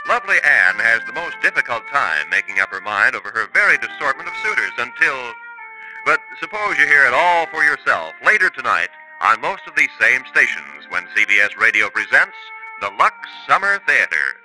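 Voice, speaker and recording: A man's voice: medium pitch, very animated, moderate pace, pushed and bright, faint music behind, rough recording. A man announcing the credits and promotions of a 1950s radio show in a polished delivery.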